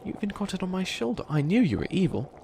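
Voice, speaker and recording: A man playing a centuries-old, boastful but bumbling evil witch in an ASMR comedy roleplay, speaking in a theatrical, halting, grandiose way. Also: sits high, big pitch swings, vocal fry, warm tone, echoey room, a little hiss or hum, full character voice. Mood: gleeful, scolding.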